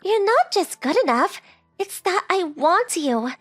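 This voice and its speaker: Actress as smitten teenage girl, very high-pitched, sweet, forthright, affectionate, dry studio sound, anime dub. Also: very animated, measured pace, dry recording, soft background music, full character voice. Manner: affectionate, earnest. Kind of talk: anime-style manga dub acting.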